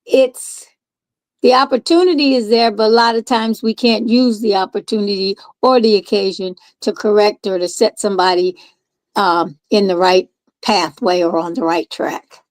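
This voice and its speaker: A woman's voice: medium pitch, fairly even intonation, moderate pace, dry recording. A woman on a panel speaking earnestly and searching for her words. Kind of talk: casual panel discussion.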